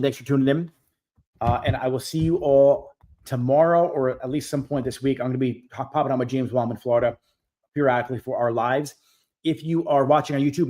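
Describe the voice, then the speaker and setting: Man in his forties, host of a coaching live Q&A, direct and emphatic, mid-pitched. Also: darkish tone. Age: 40s. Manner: direct, emphatic, repeats key points.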